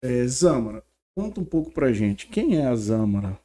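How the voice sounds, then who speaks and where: Male co-host, warm mellow voice, hesitant casual delivery. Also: medium pitch, sing-song. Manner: halting, hesitant introductions. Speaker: podcast co-host. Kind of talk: casual podcast hosting.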